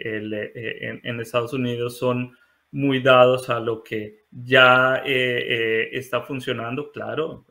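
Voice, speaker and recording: A man in his forties from Colombia, a mechanical engineer interviewed over a video call from his living room, speaking with many pauses and fillers. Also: medium pitch, a bit bright. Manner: hesitant, many fillers and restarts. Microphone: laptop webcam video call.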